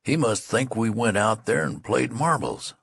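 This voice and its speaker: A man's voice: medium pitch, measured pace, creaky, fairly bright tone, husky, dry recording, studio quality, pitched above the performer's own voice, exaggerated voice. A man voicing an indignant young soldier who talks in rustic, folksy dialect. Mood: aggrieved.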